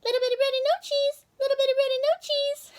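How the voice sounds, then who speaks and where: A woman's voice: extremely high pitch, level tone, quick pace, darkish tone, voice pushed up. A woman voicing a little storybook songbird, chanting in a repetitive sing-song.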